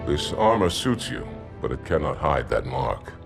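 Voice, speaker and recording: Disdainful alien warrior officer, clipped and cold, lively pitch, game cutscene with loud music. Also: male voice, moderate pace, creaky, exaggerated voice. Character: proud alien special forces commander, cold and loyal to his troops. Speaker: game character. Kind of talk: video game cutscene acting.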